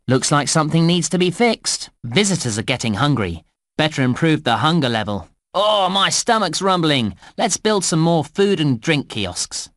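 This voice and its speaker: A man's voice: on the high side, a bit bright, dry recording, studio quality. A man voicing a chirpy, encouraging theme park guide in a children's computer game, with bouncy, exaggerated scripted delivery. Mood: concerned. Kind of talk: scripted game tutorial narration.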